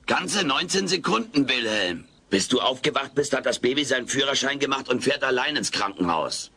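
Raspy wisecracking alien. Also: male voice, mid-range voice, animated, normal speed, sharp tone, full character voice. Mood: bossy. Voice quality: raspy, gravelly. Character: wisecracking furry alien houseguest, cheeky, melodramatic, male. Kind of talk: German comedy audio drama dub.

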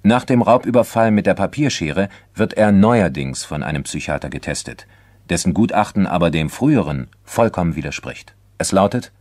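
Deep voiced guy sober crime radio play narrator, formal, measured, dry sound. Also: expressive, darkish tone, dry recording. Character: sober male narrator of a true-crime case. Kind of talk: scripted documentary crime radio-drama narration.

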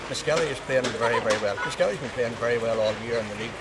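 A middle-aged man with a Northern Irish accent, interviewed after a match outside a sports ground, talking haltingly into a handheld mic with many restarts. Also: medium pitch, far from the mic, heavy background noise. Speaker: county chairman. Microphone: handheld mic held by interviewer.

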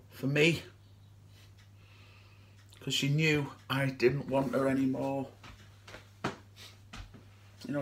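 A young man acting a dramatic stage monologue as a distraught, haunted man, speaking haltingly and emotionally with long pauses. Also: sits high, creaky, exaggerated voice. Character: distraught man haunted by his girlfriend's suicide. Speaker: amateur actor.

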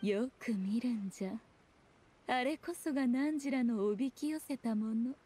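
Haughty fox shrine maiden, slightly high voice, archaic lordly phrasing, composed, scornful, anime game. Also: female voice, unhurried, mellow, breathy edge. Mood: calm, commanding. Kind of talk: Japanese anime-style game acting.

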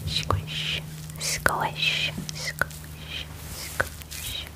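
A woman in her twenties whispering softly and soothingly in an ASMR personal-attention roleplay, murmuring reassurances close to the mic. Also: very slow. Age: 20s. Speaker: ASMR creator playing a spa groomer. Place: small dim room indoors.

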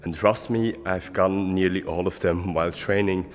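A man's voice: low voice, fairly even intonation, normal speed, a little hiss or hum. A young man, a German-speaking fitness coach from Switzerland, earnestly explaining gym tips to a handheld camera at arm's length in a large indoor gym. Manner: earnest, explanatory, adds okay often. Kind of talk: casual vlog advice. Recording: echoey gym hall with music.

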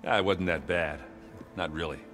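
Game sidekick: deep, gruff, good-natured soldier, joking and self-deprecating, music underneath. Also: male voice, normal speed, a bit bright. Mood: reflective, sincere. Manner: hesitant, joking, blokey and sincere. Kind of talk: video game dialogue acting. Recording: prominent music behind the voice.

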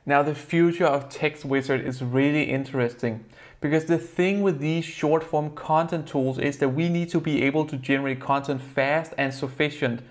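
Young man, Danish accent, slightly higher voice, earnest explainer, desk-side review to camera. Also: steady tone, normal speed. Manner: earnest, explanatory, slightly non-native phrasing. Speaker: software reviewer. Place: plain indoor room at a desk.

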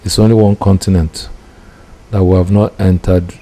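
A middle-aged Nigerian man with a Nigerian accent, a preacher giving personal testimony deliberately, phrase by phrase. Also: deep voice, little pitch movement, mellow. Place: indoor room with curtains. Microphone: microphone close in front. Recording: clear background noise.

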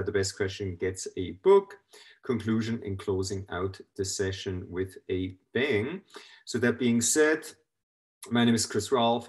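A middle-aged man with a Swiss accent hosts an online presentation from a room at home, talking over a video call. His voice sits on the low side, and he keeps an upbeat, casual pace with plenty of fillers. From Switzerland. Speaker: webinar presenter.

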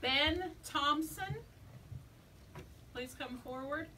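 An actress on a church stage playing a heavenly court assistant who formally calls names and reports records. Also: female voice, high-pitched, drawn-out words, pushed and bright, slight background noise, full character voice. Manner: formal, announcing. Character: brisk female court assistant angel. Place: church stage set, indoors. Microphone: distant camera mic. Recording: distant stage voice.

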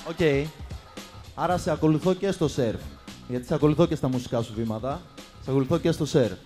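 A man speaks into a handheld mic on a studio stage. His voice sits a little high and he banters casually, with music clearly playing behind him. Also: dark tone. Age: 20s. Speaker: talent show contestant. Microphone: handheld microphone held close.

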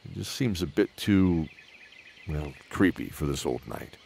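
A man's voice: sits low, animated, measured pace, creaky, darkish tone, a little hiss or hum, exaggerated voice. A man playing a kindly, weary old knight who introduces an audio drama in a hesitant, musing way. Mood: weary, content.

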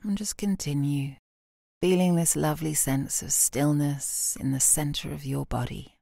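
British woman, meditation guide, very slow delivery, dark mellow tone, soft, calm, soothing, long pauses. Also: low-pitched, vocal fry, dry recording, clean studio sound. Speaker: yoga nidra meditation guide.

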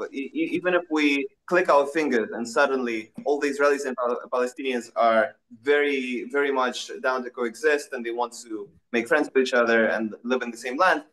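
A man's voice: mid-range voice, fairly bright tone, low-quality recording. A young man from Russia on a video call from a small indoor room, answering questions earnestly with frequent restarts and fillers. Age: young adult. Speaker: interviewed activist. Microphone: laptop webcam on a video call.

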